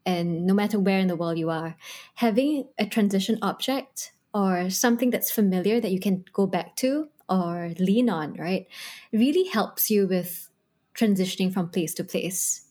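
Woman co-host in her reflective mode, mid-pitched voice, halting and thoughtful. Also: dark tone. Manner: thoughtful, with frequent ums and rephrasing. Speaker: podcast co-host. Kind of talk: reflective discussion.

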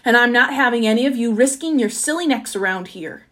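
A woman's voice: high voice, very animated, normal speed. A woman voicing a blustering old male Duke in a storybook, firm and commanding in manner.

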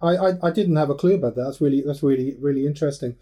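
A man's voice: fairly high pitch, very fast, mellow. A man from Wales interviewing a singer, friendly and hesitant, with lots of fillers. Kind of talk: casual interview chat.

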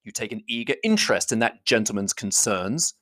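A reader voicing a proud, stiff gentleman who speaks in a measured, contemptuous, slow way. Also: mid-range voice, animated. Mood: cold. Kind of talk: audiobook reading.

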